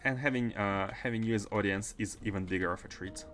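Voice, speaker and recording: Halting man with a Russian accent. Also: lowish voice, a bit bright, soft background music. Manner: halting, repeats and restarts phrases. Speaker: gaming livestreamer. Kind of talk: casual livestream chat while playing.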